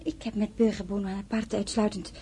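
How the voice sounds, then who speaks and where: An actress playing a gentle older sister in love, earnest and defensive. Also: female voice, medium pitch, little pitch movement, moderate pace, slightly rough.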